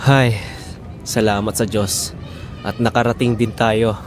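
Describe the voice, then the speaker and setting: A man's voice: on the low side, little pitch movement, slow pace, noisy background. A man playing a hopeful, stubborn husband and father in an audio drama, reassuring and insistent. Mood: weary relief.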